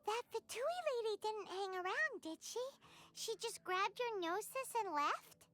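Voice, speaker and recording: Game sidekick: tiny childlike fairy girl, extremely high, squeaky, very animated, blunt and cheeky. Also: measured pace, heavily acted. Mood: indignant. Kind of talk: video game voice acting.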